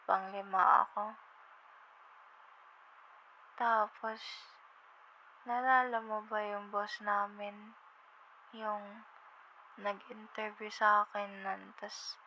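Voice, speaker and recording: A woman acts out a drowsy, loving young girlfriend with a Filipino accent in an intimate ASMR roleplay. She speaks slowly in a flat, gravelly-edged murmur, tender and a little hesitant. The audio is somewhat dull. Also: mid-range voice, a little hiss or hum. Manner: drowsy, tender, hesitant, slips between Tagalog and English. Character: sleepy affectionate young girlfriend on a late-night phone call.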